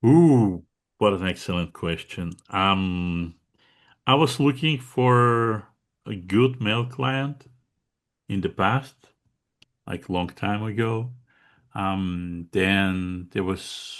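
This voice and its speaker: A Bulgarian-accented man speaks in a deep voice as an interview guest. He chats casually and laughs easily, and his sentences are full of fillers and restarts. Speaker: guest contributor.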